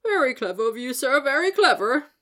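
A small, fussy wizard gushes in a high, chirpy voice, as a woman puts him on, bright and eager. He sounds lifted well above an ordinary speaking voice, a storybook eccentric bubbling with enthusiasm. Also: big pitch swings, brisk. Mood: gushing. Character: very small excitable older wizard man.

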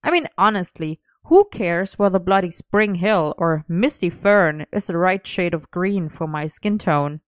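A woman's voice: on the low side, expressive, measured pace, few pauses, no room sound, dull audio. A woman voicing a playful, whiny young man who grumbles and teases in an audiobook story. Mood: whiny, grumbling. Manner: whinging, grumbling, teasing.

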